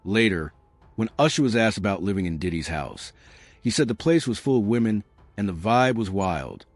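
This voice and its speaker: A man's voice: on the low side, expressive, moderate pace, dry recording. A man reading a scripted gossip documentary narration as a voice-over. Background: music.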